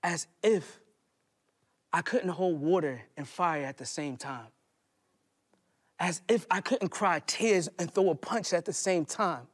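Casting: American male spoken-word poet, high voice, dramatic and deliberate stage delivery with pauses. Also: slightly rough. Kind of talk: performed poetry monologue. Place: indoor hall stage before small audience.

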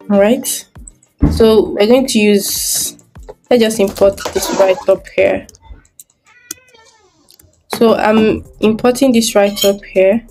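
A woman's voice: medium pitch, a little mellow. A woman giving a screen-recorded design tutorial, thinking aloud step by step as she works.

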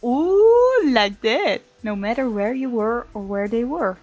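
A woman hosting a let's play, reading game text aloud with playful, expressive asides. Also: on the high side, slow pace, highs cut off, soft background music. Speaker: gaming video host.

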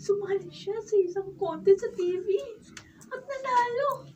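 A woman's voice: very high-pitched, measured pace, darkish tone. A woman playing a remorseful young daughter in a Filipino radio drama, tearful and pleading. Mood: proud, tearful. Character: remorseful young daughter returning to her mother. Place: radio drama recording studio. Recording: noisy, distant camera recording in studio.

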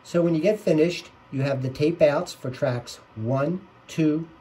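Slow-paced man giving step-by-step instructions. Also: a little high, warm tone, husky. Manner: step-by-step, plainspoken. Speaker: tutorial host. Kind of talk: instructional tutorial narration.

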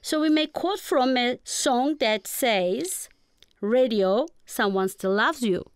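A woman's voice: a little high, expressive, takes it slowly, clear, resonant tone, no room sound. A woman with a Turkish accent, a guest professor giving explanatory answers in a radio interview.

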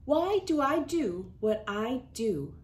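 An earnest little storybook earthworm, voiced by a woman, always searching and questioning. The pitch lifts and moves in a lively way. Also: takes it slowly, a little hiss or hum.

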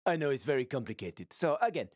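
Casting: roguish middle-aged game survivor, wry banter, medium-pitched animated delivery, dry studio sound. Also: male voice, quick pace, dry recording. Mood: wry, matter-of-fact. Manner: sardonic, casual, slangy banter with swearing. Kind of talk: video game character acting.

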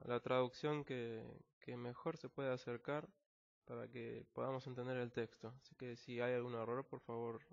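Argentine man teaching English, dull audio. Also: mid-range voice. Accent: Argentine Spanish. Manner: patient, explains word by word with fillers. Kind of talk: screen-recorded language lesson. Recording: slightly dull lo-fi recording.

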